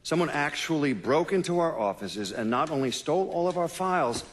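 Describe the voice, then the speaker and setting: An actor playing a frank former investigator giving a blunt, matter-of-fact interview in a video game. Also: male voice, a little high, expressive, a little fast, slight background noise, close to an everyday voice. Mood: disdainful, matter-of-fact. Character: frank former investigator recalling a botched case.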